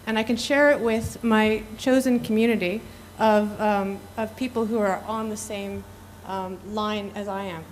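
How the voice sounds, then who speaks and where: A woman co-presenting a project pitch to listeners in a live presentation. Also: steady tone, a little slow, hardly any pauses, slight background noise, low-quality recording.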